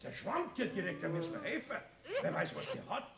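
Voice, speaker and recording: A dutiful, nosy fellow with a Bavarian accent in a radio play. His high voice creaks with gravel and leaps wildly in pitch. He is excitable and keeps insisting. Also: fairly quick, a crowd in the background, heavily acted. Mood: curious, insistent. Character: nosy, dutiful Bavarian card-playing pal. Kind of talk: 1970s radio play acting.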